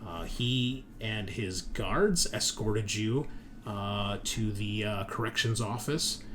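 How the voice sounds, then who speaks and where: Low-voiced man narrating, halting and noisy. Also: fairly bright tone. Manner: halting, conversational, thinking aloud. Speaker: game master. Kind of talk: recapping and narrating a tabletop game session.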